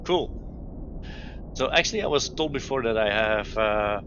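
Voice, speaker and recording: A man from Belgium streaming a game, explaining his setup in halting speech full of fillers and restarts. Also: mid-range voice, expressive. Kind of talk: live-stream gameplay commentary. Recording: dull lo-fi mic with clear noise.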